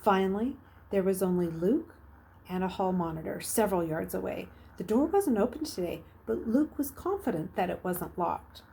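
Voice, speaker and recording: A woman reading a children's novel aloud as a storyteller, narrating expressively, with emphatic swells and hushed phrases. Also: medium pitch, lively intonation, normal speed, creaky, slight background noise.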